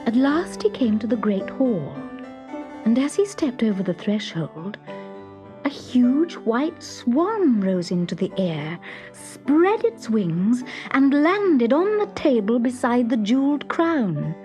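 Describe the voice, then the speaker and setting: A woman narrating a children's story from a script in a measured, expressive storytelling manner. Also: fairly high pitch, soft background music.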